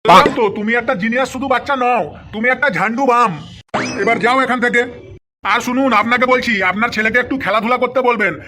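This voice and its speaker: A man's voice: very high voice, steady tone, fast talker, noisy background. A man voicing an exasperated, sarcastic male doctor who scolds his patients in a comedy cartoon.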